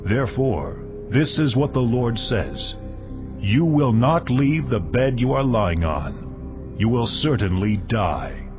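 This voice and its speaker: An actor playing an authoritative angelic messenger who speaks in a measured, solemn way. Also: male voice, mid-range voice, very animated. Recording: muffled audio under heavy music.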